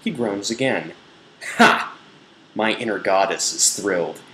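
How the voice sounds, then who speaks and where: Man, lowish voice, theatrical mock-sensual storytelling, roomy echo, faint background noise. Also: animated, unhurried, bright, forceful tone. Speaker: blogger reading aloud. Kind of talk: dramatic reading of an erotic novel.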